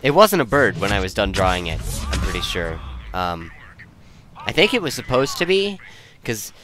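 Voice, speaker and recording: A man's voice: fairly low pitch, very animated, moderate pace, background noise. A young man giving rambling, casual commentary in an easygoing, self-deprecating, digressive way. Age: young adult. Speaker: video creator and commentator.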